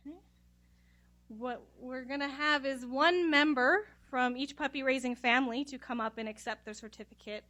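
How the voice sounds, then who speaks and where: A woman giving a formal, measured speech of thanks at a graduation ceremony. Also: sits high, pushed and bright. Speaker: regional manager of a puppy program. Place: ceremony room.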